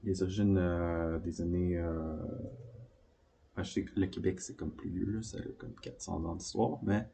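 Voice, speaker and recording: A man from Beauce with a Quebec French accent, rambling through personal reflections in a halting way, often searching for words. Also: low-pitched, fairly even intonation, gravelly, mellow. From Beauce, Quebec, Canada.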